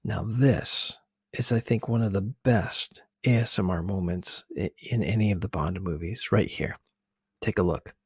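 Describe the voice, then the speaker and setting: A man hosting an ASMR video, giving casual, gently hesitant commentary on film scenes. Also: low voice, unhurried, breathy edge, no echo, clean studio sound.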